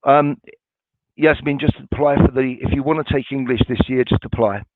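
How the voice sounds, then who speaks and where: A man's voice: medium pitch, steady tone, moderate pace, dry recording. Man answering questions on a tinny line. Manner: reassuring, informal, many restarts and ums. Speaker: college staff answering questions. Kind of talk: webinar Q&A, reading out viewer questions. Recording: dry, tinny phone-like sound.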